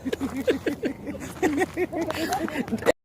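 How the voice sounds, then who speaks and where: A woman's voice: high voice, extremely slow, a crowd in the background. A woman vlogger chatting casually in a playful, teasing manner. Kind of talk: casual chat. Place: outdoors at a desert RV camp. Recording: outdoor camp noise with vehicle sounds.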